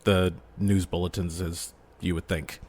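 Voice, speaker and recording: A man in a casual podcast discussion, animated and given to breaking into character voices. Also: sits low, measured pace.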